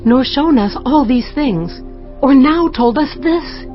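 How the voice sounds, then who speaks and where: Earnest bible wife in audio drama, super expressive, slightly dull sound. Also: female voice, sits high, slow pace. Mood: reassuring. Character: earnest, awed wife of an Israelite. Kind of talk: dramatized scripture acting. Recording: dull band-limited sound. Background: background music.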